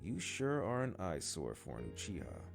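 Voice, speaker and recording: A man's voice: low voice, wildly swooping pitch, moderate pace, creaky, full character voice. A man voicing a cocky older schoolboy bully from a storybook, slangy, taunting and condescending. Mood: menacing condescension. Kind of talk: storybook character dialogue. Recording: guitar music behind the voice.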